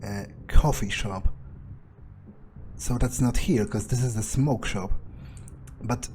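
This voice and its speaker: A man's voice: lowish voice, takes it slowly, a little mellow, a little hiss or hum. A man doing in-character game commentary, thinking aloud in a theatrical, self-assured, musing way. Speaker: gamer playing in character.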